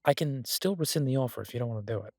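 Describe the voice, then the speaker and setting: A man playing a devoted, easygoing husband who cooks, talking in a casual, halting, reassuring way in an intimate audio roleplay. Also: mid-range voice, expressive, darkish tone, dry recording, clean studio sound. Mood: hopeful, tender. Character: devoted, easygoing husband and cook, gently teasing. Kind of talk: intimate one-sided audio roleplay, conversational.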